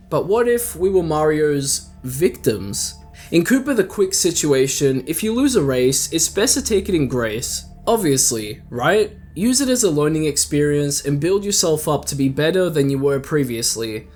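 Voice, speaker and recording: A man hosting a video channel, giving casual commentary in a conversational, wry way with frequent self-interrupting asides. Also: on the high side. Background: background music.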